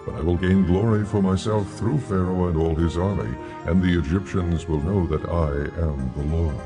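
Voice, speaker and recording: A man playing God in a dramatized scripture audio drama, solemn, grave and authoritative in measured speech. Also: low voice, close to an everyday voice. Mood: solemn, resolute. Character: God, solemn commanding almighty male deity. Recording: dramatic music behind voice.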